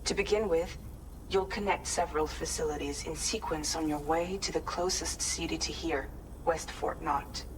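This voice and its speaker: An actress playing a businesslike, friendly mission guide in a video game, briefing step by step. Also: female voice, low-pitched, fairly even intonation, moderate pace, a little hiss or hum, close to an everyday voice, poor audio. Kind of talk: video game cutscene acting.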